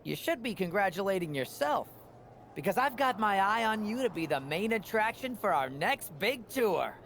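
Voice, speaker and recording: A wheedling, self-important show booker, high-voiced and chirpy. His pitch bounces around like a salesman's in game cut-scene dialogue, with faint noise behind. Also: big pitch swings, moderate pace, fairly bright tone, exaggerated voice. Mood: eager, self-important.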